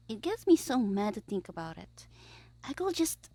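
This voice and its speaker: A woman voicing a gleeful, scheming young male supervillain in an ASMR audio roleplay, theatrical and petulant, musing aloud with breathy asides. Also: a little high, sing-song, a little slow, mellow, no echo, exaggerated voice. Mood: frustrated musing.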